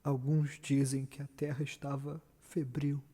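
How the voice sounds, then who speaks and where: A man's voice: medium pitch, flat delivery, warm tone, slightly rough. A Brazilian man playing a tormented, guilt-ridden, ambitious murderer in a solemn, declamatory Shakespearean stage monologue. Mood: hushed, haunted. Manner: declamatory, solemn, dramatic pauses. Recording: echoey hall with long reverb.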